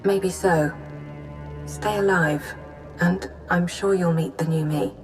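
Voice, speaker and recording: A woman's voice: lowish voice, fairly even intonation, slow pace. Proud, sardonic female agent, music behind. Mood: guarded, vulnerable. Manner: dry, wry, guarded. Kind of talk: scripted video game acting.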